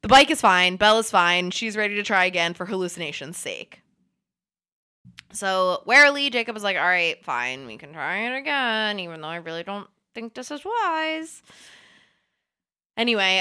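A woman's voice: medium pitch, pushed and bright. A congested woman podcast host recapping a book in casual, profane, sarcastic chat.

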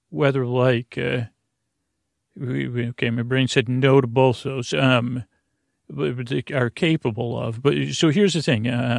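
A man with a creaky, fry-laden voice delivers a bedtime monologue meant to lull. His phrasing wanders and stalls, looping back through false starts and asides in a soothing way. The sound is dry, with no room around it. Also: medium pitch, no room sound. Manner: meandering, halting, digressive, with repeated false starts; soothing, lulling. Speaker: podcast host. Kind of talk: rambling bedtime podcast monologue to lull listeners to sleep.

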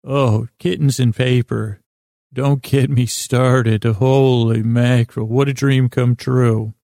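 A man hosting a bedtime sleep podcast, delivering a meandering monologue in a halting, stammering way that often trails off. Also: mid-range voice, fairly even intonation, gravelly, dark tone, dry recording, clean studio sound.

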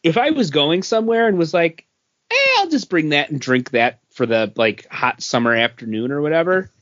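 A man's voice: on the high side, sing-song, normal speed, fairly bright tone, highs cut off. A man talking casually as a podcast co-host, giving his offhand opinions. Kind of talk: casual chat, reviewing drinks.

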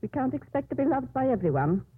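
A muffled, band-limited vintage radio recording of a kindly Mother Superior. She speaks formally and wisely, in the measured style of classic radio drama. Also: high-pitched, sing-song, husky, heavily acted. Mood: consoling. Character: kindly, wise Mother Superior of a convent hospital. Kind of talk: 1950s radio drama acting and narration.

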